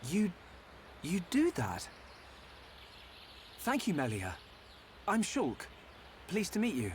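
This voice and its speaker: Earnest young adventurer, British, fairly high male voice, very varied intonation, slight background noise, game cutscene. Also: huge pitch swings, slow, full character voice. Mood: friendly, eager. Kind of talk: video game cutscene acting.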